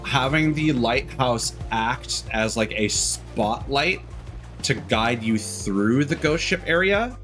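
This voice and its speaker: A man's voice: mid-range voice, animated, takes it slowly, fairly bright tone. A man livestreaming a video game with casual commentary, rambling and analytical as he gives his opinions. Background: video game music.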